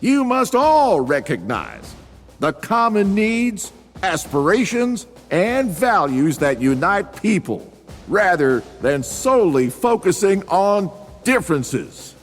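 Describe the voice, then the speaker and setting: A barking drill sergeant, as a man puts him on, pitched fairly high and swinging widely as he hammers out each point slowly. His commands ring through a long echoey hall with music and a crowd behind. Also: very animated, full character voice. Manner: commanding, shouted lecture points. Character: barking male drill sergeant lecturing recruits.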